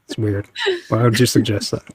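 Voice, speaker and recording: A man co-hosting a podcast, chatting casually and joking in a dry, deadpan way that he himself calls monotone. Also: lowish voice, fairly quick, husky, no echo. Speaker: podcast co-host. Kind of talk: casual chat reacting to videos.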